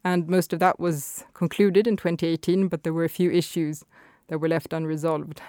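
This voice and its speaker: Woman guest breaking down climate policy, smooth clear voice, dry close sound. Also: low voice, little pitch movement, dark tone, dry recording. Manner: explanatory, measured, some hesitations. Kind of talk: expert interview answers.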